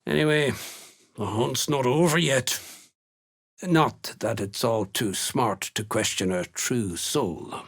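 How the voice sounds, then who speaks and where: Menacing dwarf soldier, gravelly, slow, blunt, rustic phrasing, fantasy game villain. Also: male voice, medium pitch. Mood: blunt, scornful. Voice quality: gruff, raspy. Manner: blunt, threatening, folksy rustic turns of speech. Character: menacing, gruff Duergar slave hunter, rough soldier. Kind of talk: video game character dialogue.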